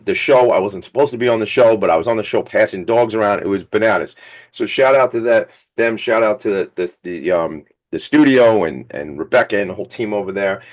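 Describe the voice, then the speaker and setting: Hoarse, fired-up male radio host. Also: lowish voice. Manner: energetic, rambling, hype-man. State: slightly hoarse, scratchy voice. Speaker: radio talk show host. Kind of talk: casual hype radio chat.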